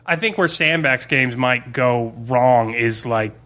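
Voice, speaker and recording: A man's voice: medium pitch, slow pace, pushed and bright. A man co-hosting a podcast, chatting casually and informally as a game master about a tabletop campaign.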